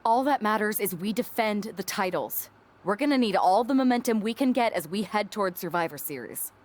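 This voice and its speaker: A steady, focused tag team champion, voiced by a woman in a game cutscene. Her voice sits in an ordinary middle range, and she keeps her lines calm and to the point. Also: mid-range voice, a little fast, lightly acted. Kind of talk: video game cutscene dialogue.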